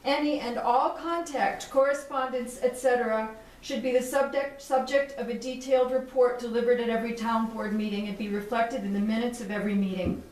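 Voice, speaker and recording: A woman's voice: on the high side, fairly even intonation, darkish tone. Firm woman reading a statement. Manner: firm and deliberate, listing demands point by point. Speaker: resident reading a statement at public comment. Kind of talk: formal prepared statement read aloud. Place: town board meeting room. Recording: echoey room from a distant mic, faint hiss.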